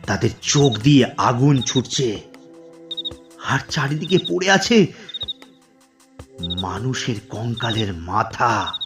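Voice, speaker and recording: A man narrating a scripted horror story dramatically, in deliberate, suspenseful phrases with pauses. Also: medium pitch, sing-song, over music.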